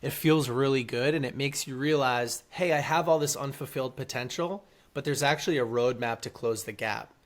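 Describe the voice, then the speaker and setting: A man's voice: medium pitch, moderate pace, few pauses. A man speaking as a podcast guest, telling his story in an earnest, motivational way. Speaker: guest, author and entrepreneur. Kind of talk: casual interview storytelling.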